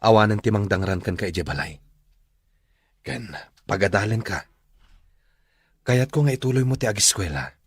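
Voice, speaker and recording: A man's voice: lowish voice, expressive, normal speed, pauses often, husky, dry recording, studio quality. A man playing an earnest, coaxing father in an Ilocano radio drama. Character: earnest father, Danilo, coaxing his child.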